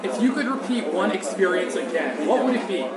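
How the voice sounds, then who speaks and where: A man reading out short quick-fire questions as an interviewer. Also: sits high, big pitch swings, a loud crowd. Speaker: interviewer, host. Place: outdoor city walkway. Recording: echoey, noisy outdoor ambience.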